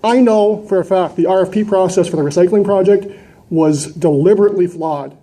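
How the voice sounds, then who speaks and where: A man's voice: high voice, fairly quick, dark tone. A man giving an impassioned, combative public address, emphatic and full of rhetorical questions. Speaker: citizen delegate at a council meeting. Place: large indoor council chamber. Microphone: gooseneck microphone close to mouth.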